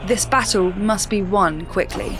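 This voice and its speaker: Measured woman narrating sci-fi drama. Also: mid-range voice. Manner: measured, explanatory. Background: music.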